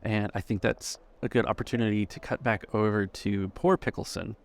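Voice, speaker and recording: A man runs a tabletop game as its game master. His narrating voice is fairly low with a creaky fry. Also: steady tone, moderate pace.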